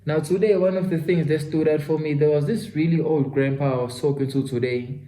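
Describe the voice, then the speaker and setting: A man's voice: on the high side, steady tone, a little fast, warm tone. A Zulu-speaking South African man in his twenties tells an earnest story and talks straight to the listener, as if to a friend. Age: 20s. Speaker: self-development vlogger. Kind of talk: motivational storytelling vlog. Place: his home studio. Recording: roomy echo.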